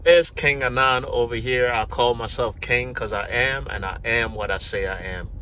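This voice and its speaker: A middle-aged man from Ghana, telling his life story to camera in a relaxed, rambling way, full of fillers and restarts. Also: medium pitch, normal speed, slight background noise. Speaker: YouTube vlogger. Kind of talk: casual personal storytelling to camera.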